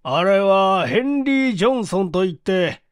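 A man's voice: high voice, expressive, normal speed, clean tone, dry recording, studio quality, pitched above the performer's own voice. A man voicing a middle-aged, authoritative company president in a story reading, with a composed manner. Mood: explaining.

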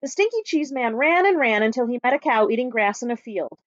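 A woman in her thirties reading a picture book aloud to schoolchildren with expressive storytelling. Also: a little high, a little fast, dry recording. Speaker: school staff reading to pupils. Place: small book-lined room at home. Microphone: webcam at arm's length. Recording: dull, lo-fi webcam sound.

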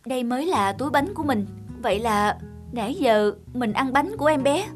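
A woman voicing a young female traveller in a cartoon, expressive and quick to judge. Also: high-pitched, over music. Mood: remorseful. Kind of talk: cartoon dubbing.

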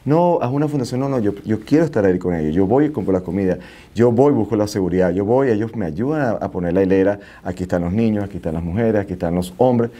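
Venezuelan guy, middle-aged, talks quick in a mellow voice, says stuff twice for emphasis. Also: on the low side. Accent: Venezuelan Spanish. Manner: repeats phrases for emphasis. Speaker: interview guest, nightclub entrepreneur. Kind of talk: casual interview talk. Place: small indoor TV studio.

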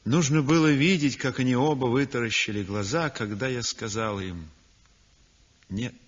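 An actor playing a weak, convalescent young male painter who narrates his story in a 1960s radio drama, speaking softly and breathily. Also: medium pitch, normal speed, breathy edge, natural voice. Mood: reflective. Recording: dull old radio recording with long reverb.

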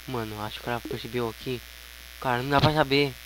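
A man's voice: mid-range voice, steady tone, quick pace, fairly bright tone. Brazilian man gaming over a noisy hum. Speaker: gaming YouTuber. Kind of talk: casual gameplay commentary. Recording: noisy home recording with electrical hum.

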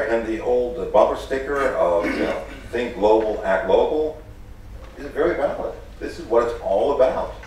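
A middle-aged professor raised in the Netherlands lectures at a podium microphone, earnest and halting, often restarting his phrases. His voice sits fairly low. The sound is very echoey and a bit distant, with a faint murmur of the room behind him. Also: darkish tone, a little hiss or hum. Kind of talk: formal lecture, unscripted. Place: large lecture hall with audience. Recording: echoey hall, picked up from a distance.

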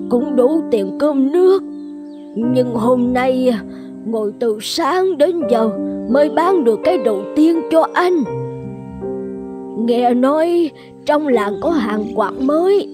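Cartoon casting: kindly old village fan seller, high-pitched, slow and polite, humble and plaintive. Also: female voice. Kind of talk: Vietnamese animated folk tale dialogue. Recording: plucked-string music behind studio voice.

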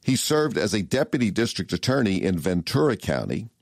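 American man, podcast host, very low voice, dry sound, conversational questions. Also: big pitch swings, a little fast, dry recording. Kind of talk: conversational interview.

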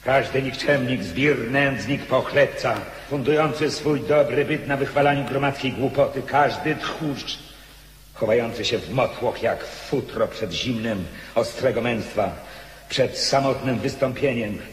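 A man's voice: medium pitch, a little hiss or hum, natural voice. Actor as a proud rebel nobleman's ghost, echoing hall. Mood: defiant, scornful. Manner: declamatory, slow, impassioned. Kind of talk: theatrical radio drama acting. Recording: echoey hall with long reverb.

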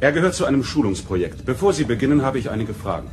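A man plays a chief engineer in a science fiction radio play. He is courteous yet skeptical, in a medium-pitched voice. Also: big pitch swings, quick pace, a little mellow, soft background music, natural voice. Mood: polite, skeptical. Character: polite but skeptical male chief engineer. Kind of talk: German audio drama acting.

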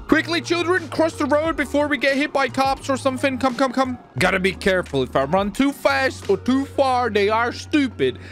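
A man hosting a gaming video with excitable, theatrical commentary and playful roleplay, often repeating his phrases. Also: extremely high pitch, moderate pace, hardly any pauses, faint music behind.

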